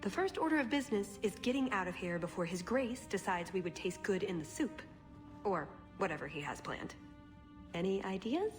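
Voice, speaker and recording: A woman voicing a poised, self-assured female spy in a video game, speaking in a measured, wry, philosophical way. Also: medium pitch, expressive, faint music behind, lightly acted. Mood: wry, confident.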